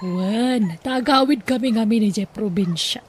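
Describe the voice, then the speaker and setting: An actress with an Ilocano accent playing a kindly, generous well-off employer in a radio drama. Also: female voice, a little high, unhurried, slight background noise, natural voice.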